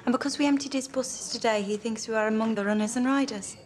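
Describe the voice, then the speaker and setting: An actress playing a sardonic young socialist union organiser, speaking in a firm, pointed, unimpressed way. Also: female voice, on the high side, steady tone, quick pace, a little mellow, natural voice. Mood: wary, sceptical. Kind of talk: period television drama dialogue.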